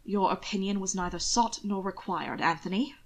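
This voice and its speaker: A woman's voice: medium pitch, moderate pace, natural voice. Woman as a curt, brooding aristocratic man. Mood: bitingly curt. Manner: muttered, clipped, gruff.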